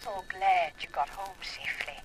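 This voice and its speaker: A woman's voice: mid-range voice, moderate pace, natural voice. An actress playing an elegant, coolly menacing woman in a 1950s radio drama, formal and condescending. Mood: cool mockery. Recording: noisy vintage radio drama recording.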